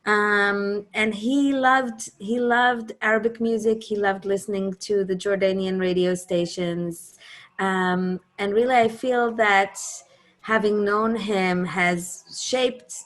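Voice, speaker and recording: An Israeli woman, a native Hebrew speaker, talks as a musician to an audience over a video call. Her voice sits at a middle pitch. She pauses often and restarts her sentences as she looks for the right words. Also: fairly even intonation. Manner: warm, searching, many ums and restarts. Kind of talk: casual talk on a video call.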